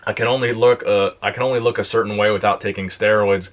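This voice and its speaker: A young man on a fitness channel, casually answering viewer questions in a plain, relaxed way. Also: fairly low pitch, quick pace, highs cut off. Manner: relaxed, plain-spoken, reads questions aloud then answers. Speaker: fitness YouTuber. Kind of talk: casual Q and A vlog.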